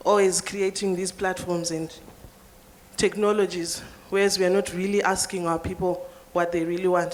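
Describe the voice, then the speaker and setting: A woman from Zimbabwe in the audience, making an earnest, critical point during a panel Q&A. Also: lowish voice. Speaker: audience member asking a question.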